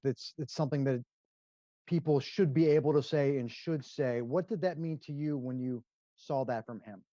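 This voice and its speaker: A man's voice: medium pitch, dark tone, no room sound. A man working as a sports reporter, asking a question conversationally over a lo-fi video call, thinking aloud as he goes. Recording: dull, lo-fi video-call audio.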